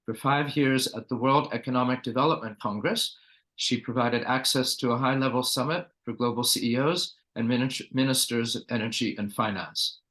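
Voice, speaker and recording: A male interview host with a voice of ordinary middle pitch. He speaks politely and formally, reading out an introduction and then asking questions. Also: moderate pace, a bit bright.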